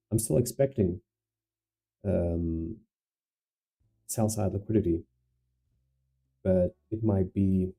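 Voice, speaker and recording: A man with a Portuguese accent speaks low and slowly, his voice gravelly and very dark. He hesitates often and repeats words while he walks through his trades and answers viewers. Faint music plays behind him. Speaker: day trader livestreaming to viewers. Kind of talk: live stream commentary and viewer chat.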